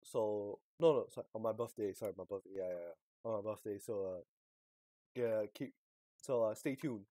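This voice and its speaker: Low-voiced man streaming a game. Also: normal speed, mellow, dry recording. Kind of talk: casual stream chat, reacting to a game.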